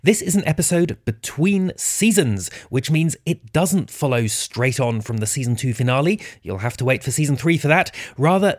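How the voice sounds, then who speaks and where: A man's voice: medium pitch, no echo. Male podcast host, dry close recording. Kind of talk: scripted podcast introduction.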